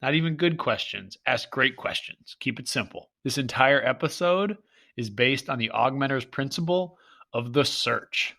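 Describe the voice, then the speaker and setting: A man co-hosting a podcast with a bright, forward tone and mid-range pitch. He sounds a little stuffed up, but he stays upbeat and playful as he explains with images and metaphors. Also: animated, normal speed. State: congested and stuffy. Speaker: podcast co-host. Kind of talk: casual podcast chat.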